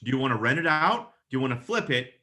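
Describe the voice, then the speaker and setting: A man's voice: mid-range voice, sing-song, fast, sharp tone. A man working as a real estate agent, giving casual, emphatic advice in a conversational coaching style and acting out example phone calls. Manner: emphatic, casual, mildly profane, checks in with "okay?".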